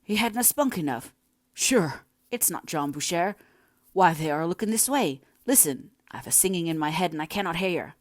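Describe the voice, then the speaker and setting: A woman's voice: fairly low pitch, expressive, moderate pace, no room sound, clean studio sound, lightly acted. A woman voicing a weak, shaken, stubborn working-class man in dialect, with a piping voice, in a storybook reading. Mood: shaken, denying. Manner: northern English dialect speech.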